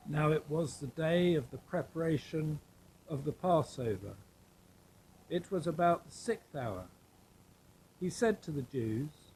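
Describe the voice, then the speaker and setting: Slow, higher-pitched man reading scripture. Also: darkish tone, slight background noise, low-quality recording. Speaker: scripture reader.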